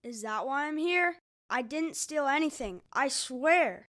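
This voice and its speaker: A nervous twelve-year-old in an audio drama, played by a woman, with a high voice and slow delivery. The child sounds innocent, hesitant and defensive. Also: expressive, a bit bright.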